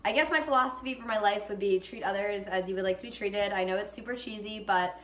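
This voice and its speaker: A woman's voice: mid-range voice, fast talker, fairly bright tone. Hesitant young woman in an echoey room. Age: 20s. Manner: hesitant, with many fillers and hedges. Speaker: interviewee. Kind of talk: casual reflective answers.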